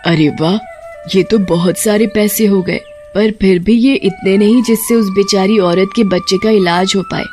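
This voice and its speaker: A woman voicing a witch ghost, gruff but tender underneath, in an animated story. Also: mid-range voice, moderate pace, soft background music, close to an everyday voice. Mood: determined. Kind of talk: animated Hindi horror-moral story.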